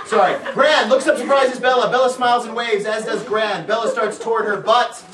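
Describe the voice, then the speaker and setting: A man's voice: very high voice, fairly even intonation, fairly quick, fairly bright tone. A man giving a jokey amateur dramatic reading of script stage directions, stumbling and breaking off to comment. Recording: roomy, far from the mic, people around. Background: other readers chattering and laughing.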